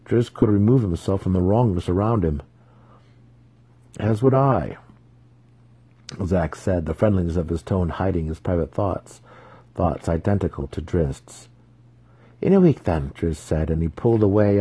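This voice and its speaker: Deep, dark, husky male storyteller. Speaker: audiobook narrator. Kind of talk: dramatic fantasy audiobook narration.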